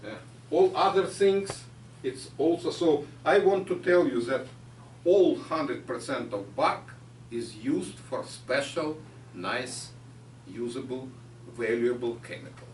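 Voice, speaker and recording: A man with a Ukrainian accent lectures haltingly, with many "uh"s. He sounds distant and echoey in a lecture hall. A faint hiss runs beneath him. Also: medium pitch. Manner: halting non-native phrasing with frequent fillers. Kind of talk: public science lecture.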